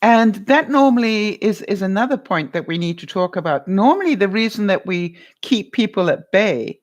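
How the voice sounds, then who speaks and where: A woman's voice: mid-range voice, moderate pace. Chatty woman with a voice that carries. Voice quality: loud voice that carries. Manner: chatty, lively, laughs often, talks to viewers by name. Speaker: vlogger answering live chat. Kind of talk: casual livestream chat.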